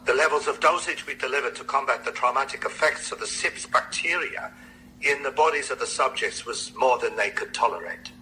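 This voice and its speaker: An actor playing a grave, dejected medical researcher in a radio drama, halting and formal in his phrasing. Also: mid-range voice, sharp tone, slight background noise, rough recording. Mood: dejected, apologetic.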